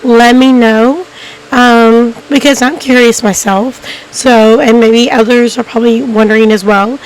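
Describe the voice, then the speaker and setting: Hoarse woman, slow rambling talk. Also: on the high side, poor audio. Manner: rambling, many ums and restarts. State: hoarse, losing her voice. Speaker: YouTube documentary host. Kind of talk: casual unscripted narration to viewers.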